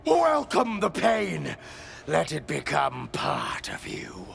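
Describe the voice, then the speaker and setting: A man playing an ecstatically cruel priest of pain in a video game, drawing out words from whispers to shouts. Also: on the high side, wildly swooping pitch, vocal fry, a little hiss or hum, heavily acted. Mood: ecstatic fervour. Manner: drawn-out, swings from whisper to shouted cries. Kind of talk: theatrical video game acting.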